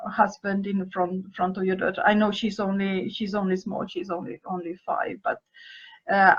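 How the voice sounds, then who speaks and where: A woman from Finland, a native speaker of Finnish and Swedish, hosts a podcast and gives advice on listener questions, thinking aloud with pauses and restarted phrases. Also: medium pitch, highs cut off. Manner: thoughtful and hesitant, pausing with fillers and restarting phrases. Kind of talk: advice talk answering questions.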